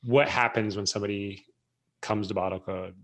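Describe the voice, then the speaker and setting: A man's voice: fairly low pitch, steady tone, normal speed. A male podcast host asking casual, loose questions, peppered with "like.".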